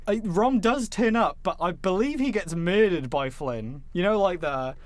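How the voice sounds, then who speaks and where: A man's voice: high-pitched, lively intonation, normal speed, no room sound. A man hosting a podcast, recapping and discussing films casually with joking, sweary asides. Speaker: podcast host. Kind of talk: casual film discussion with scripted plot recap.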